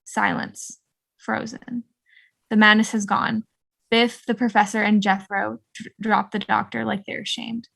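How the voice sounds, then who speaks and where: A woman's voice: medium pitch, flat delivery, measured pace, gravelly, fairly bright tone, poor audio. A woman narrating the stage directions of a drama script at an online table read.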